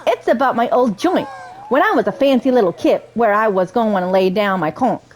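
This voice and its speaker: A woman's voice: fairly high pitch, expressive, moderate pace, a little mellow, lightly acted. A woman voicing a peppy male cartoon rabbit who talks in exaggerated, chirpy old-timey slang in a video game. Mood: cheerful, eager.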